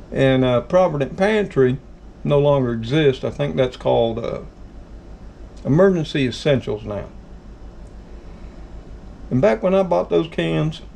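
Folksy Southern man with gravelly voice. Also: a little high, darkish tone, slight background noise. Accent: Southern American. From American South. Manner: folksy, addresses viewers as folks. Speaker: channel host. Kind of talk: casual how-to talk. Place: home kitchen.

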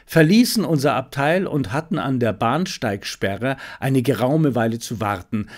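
Slow-paced male audiobook narrator. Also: fairly high pitch. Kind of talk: scripted narration of a detective story.

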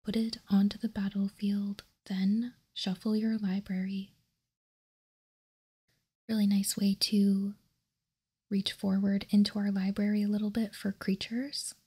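A woman hosting an ASMR card showcase in a breathy, unvoiced whisper, hushed and deliberate, close to the microphone. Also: mid-range voice, little pitch movement. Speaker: host.

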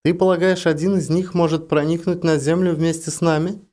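Male actor as female space commander, high shifted voice, tense, urgent, demanding questions, audiobook drama. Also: flat delivery, a little fast, a little mellow, lightly acted. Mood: worried.

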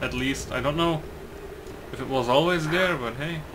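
A man's voice: fairly high pitch, moderate pace, background noise. A man giving casual game commentary in a rambling, blunt, sweary way. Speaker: gaming video creator.

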